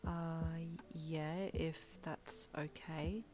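A woman voicing a gentle, shy young male swordsman with a soft, hesitant way of talking, in a reader-insert story. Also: on the low side, moderate pace, close to an everyday voice.

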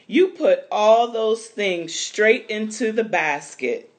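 A woman's voice: mid-range voice, expressive, takes it slowly, pitched above the performer's own voice. A man doing a busy, exclaiming market mama. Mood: fond. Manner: exclaiming, then fondly doting. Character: busy, warm market mother.

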